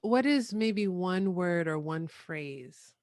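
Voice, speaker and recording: Low-voiced woman host, dry close sound. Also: expressive, slow, darkish tone, no echo. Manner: asks long questions with restarts. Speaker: podcast host. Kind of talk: casual interview.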